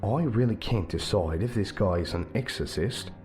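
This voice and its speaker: A man plays a wry defense lawyer from a visual novel, cocky and defiant. His voice sits low and dry, with faint music behind it. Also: moderate pace, darkish tone, close to an everyday voice.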